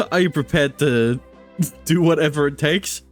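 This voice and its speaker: A man's voice: fairly high pitch, normal speed, faint music behind. A man streaming a game, improvising casual, joking replies to the dialogue with a stammering delivery.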